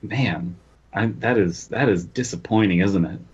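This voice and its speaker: A man's voice: on the low side, measured pace, husky. A man co-hosting a podcast in casual, joking chat. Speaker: podcast co-host. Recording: dull, lo-fi microphone sound.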